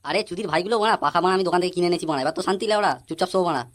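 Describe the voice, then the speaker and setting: A man's voice: sits high, very fast, fairly bright tone, dry recording. A man voicing a foul-mouthed, blustering young thief in a comic cartoon. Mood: boastful, irritated. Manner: swearing constantly, blustering. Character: foul-mouthed brash young male neighbourhood thief, defiant.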